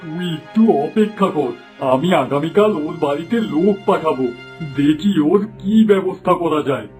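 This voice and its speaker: A man's voice: on the high side, lively intonation, moderate pace, close to an everyday voice. A man playing a stern, fed-up village headman in a cartoon. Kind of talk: Bengali cartoon dubbing. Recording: music under the voice.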